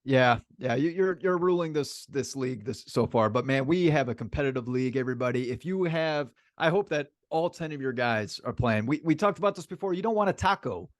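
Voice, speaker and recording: Male sports podcast co-host, mid-pitched, casual and animated banter, repeats himself for emphasis, dry recording. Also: fairly quick, hardly any pauses, no echo.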